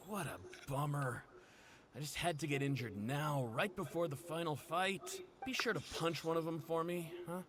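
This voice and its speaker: High-pitched rueful wounded mercenary played by an actor. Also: male voice, normal speed, a crowd in the background, natural voice. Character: injured, frustrated male mercenary, rueful.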